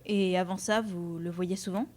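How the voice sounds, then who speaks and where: A woman's voice: fairly low pitch, fairly even intonation, normal speed, a little mellow, lightly acted. A woman playing a bitter, sarcastic woman in her twenties, blunt and sardonic, in a French audio drama. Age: 20s.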